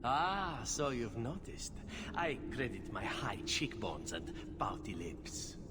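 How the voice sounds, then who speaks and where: A man's voice: medium pitch, sing-song, slow. A man playing a suave, flirtatious elf assassin in a video game, with a playful, theatrical delivery. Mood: teasing, flirtatious. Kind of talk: video game character acting. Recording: noisy ambience, long reverb.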